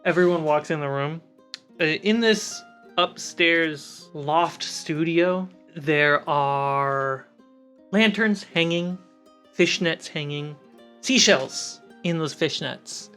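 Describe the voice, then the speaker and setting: A game master narrates a tabletop fantasy scene at a middling pitch, setting out places and characters, with music playing under the voice. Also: takes it slowly, pushed and bright, clear, resonant tone.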